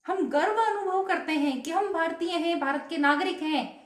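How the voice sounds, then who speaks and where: A woman's voice: extremely high pitch, moderate pace, roomy sound. A woman vlogger giving an earnest patriotic speech to camera, asking many rhetorical questions.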